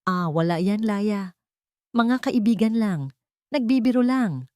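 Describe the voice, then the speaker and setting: Lady doing touchy husband hiding stuff, defensive, short fuse, mellow dry voice. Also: mid-range voice, lively intonation, slow, clean tone, no echo, voice pushed up. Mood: evasive.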